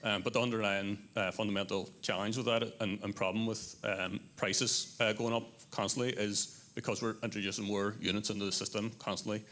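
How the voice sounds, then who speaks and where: A man's voice: on the low side, flat delivery, fairly quick, hardly any pauses, a bit bright. A man on a conference panel explaining his point step by step, with frequent filler phrases. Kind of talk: panel discussion.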